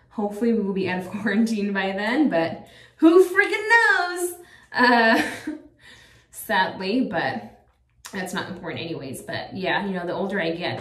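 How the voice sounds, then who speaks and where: A woman's voice: medium pitch, fairly bright tone. A woman in her thirties talking casually to her viewers in a rambling, chatty way, full of fillers. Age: 30s. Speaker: vlogger. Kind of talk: casual chat to camera. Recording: echoey room, a bit far from the mic.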